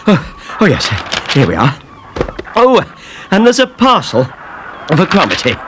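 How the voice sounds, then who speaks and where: Narrator putting on a huffing, puffing chatterbox shopkeeper, raised pitch, out of breath. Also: male voice, huge pitch swings, unhurried, noisy background, exaggerated voice. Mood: flustered. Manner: breathless, fussing, full of "oh"s.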